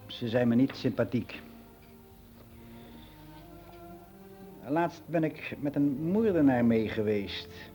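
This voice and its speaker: An actor plays a world-weary middle-aged man in a radio drama monologue. His voice is dark and relaxed, of ordinary male pitch. He rambles on frankly and matter-of-factly, like someone sharing gossip in private, with some music in the recording. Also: lightly acted, rough recording. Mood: chatty, rambling. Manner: rambling, confiding, matter-of-fact.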